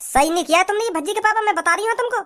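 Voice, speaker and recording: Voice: extremely high pitch, very fast, sharp tone. A performer voicing a protective, firm mother in a comedy cartoon. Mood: warning.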